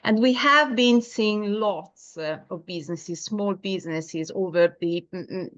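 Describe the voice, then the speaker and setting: A woman's voice: medium pitch, a little slow, fairly bright tone, no echo, dull audio. Italian woman presenting a webinar. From Italy. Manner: hesitant, many filler sounds, storytelling. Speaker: webinar presenter, SEO agency founder. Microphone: video call.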